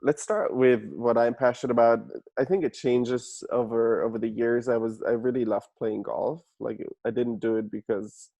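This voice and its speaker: German-accented man, dark dry voice. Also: medium pitch, fairly even intonation, moderate pace, hardly any pauses, no room sound. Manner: earnest, reflective, with frequent restarts. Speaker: guest entrepreneur. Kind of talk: conversational interview answers.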